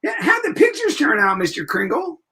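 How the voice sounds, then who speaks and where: A man acts a naive young fellow from a forties radio drama. He is good-hearted but glum, with a high voice that wobbles up and down freely. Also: wildly swooping pitch, moderate pace, sharp tone, a little hiss or hum, full character voice. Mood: downcast. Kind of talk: 1940s radio acting.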